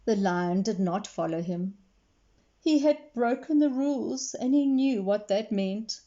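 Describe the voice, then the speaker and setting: A woman reading a children's story expressively, pausing to explain and put questions to young listeners. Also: mid-range voice, animated, warm tone, muffled recording. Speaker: read-aloud storyteller for children.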